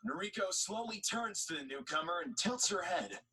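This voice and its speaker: A man's voice: sits high, lively intonation, moderate pace, a crowd in the background. A man reading scripted narration for an audio drama.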